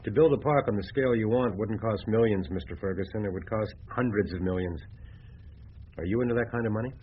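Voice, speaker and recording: Male actor as smooth-dealing city man, radio drama. Low pitch, measured, confident; slightly dull recording. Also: a little hiss or hum, lightly acted. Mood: composed. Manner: measured, assured, clipped. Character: cool, confident city-bred male deal-maker, younger.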